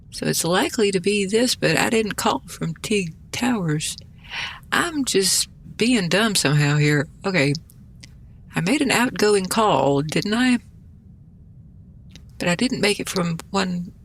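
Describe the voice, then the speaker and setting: Gravelly-voiced gamer thinking aloud. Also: medium pitch, a bit bright, slight background noise. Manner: rambling, self-deprecating, muttering through puzzles. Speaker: gaming video host. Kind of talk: casual gameplay commentary, thinking aloud.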